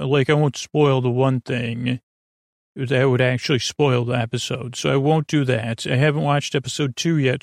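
Soft-spoken, creaky, rambling man host. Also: medium pitch, no room sound, clean studio sound. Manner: meandering, many restarts and fillers, gentle and reassuring. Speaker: podcast host. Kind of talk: rambling bedtime podcast intro.

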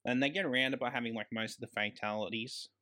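Male Australian podcast co-host, average pitch, casual and keen, fond of bold statements about films. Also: steady tone, quick pace, sharp tone. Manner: enthusiastic, given to emphatic grand claims. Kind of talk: casual film discussion.

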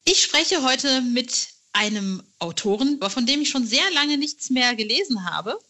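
A woman's voice: high voice, expressive, measured pace, sharp tone, lo-fi sound. A woman hosting a podcast, interviewing in a casual, chatty and enthusiastic way. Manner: enthusiastic, informal.

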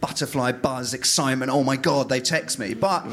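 A man's voice: mid-range voice, normal speed, a bit bright. A man, a comedian and impressionist, chatting casually and self-mockingly as a guest on a live podcast. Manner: chatty, self-mocking, full of fillers and restarts. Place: live club stage with audience.

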